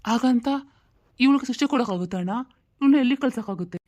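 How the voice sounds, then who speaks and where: A woman's voice: on the high side, fast, a little mellow, close to an everyday voice. A woman voicing an earnest, compassionate younger brother who pleads emphatically in a cartoon family drama. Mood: defiant. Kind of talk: dramatic cartoon story dialogue.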